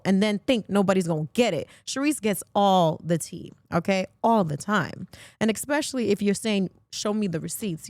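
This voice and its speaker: A woman's voice: mid-range voice, no echo. A woman hosting a podcast with casual, gossipy talk in a brash, sassy, slangy manner. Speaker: podcast host.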